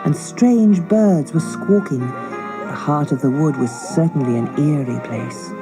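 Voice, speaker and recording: A woman storyteller narrating a scripted fairy tale for children in a measured, dramatic way. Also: sits low, over music, rough recording.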